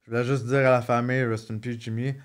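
A man's voice: mid-range voice, level tone, quick pace. A man in his thirties with a Quebec French accent, a podcast guest telling personal stories in casual chat, joking and restarting often. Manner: joking, frequent restarts and fillers. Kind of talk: casual storytelling.

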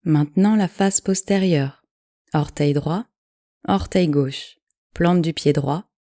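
Calm woman doing guided meditation, really slow and soothing, soft dark tone, short measured phrases. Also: deep voice, no room sound, clean studio sound. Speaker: yoga nidra guide.

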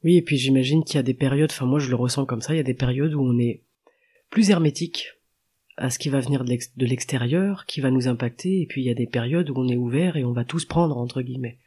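A man's voice: mid-range voice, fast, mellow, slightly rough. A man hosting an interview in a reflective, conversational way, rephrasing what his guest says. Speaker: podcast host.

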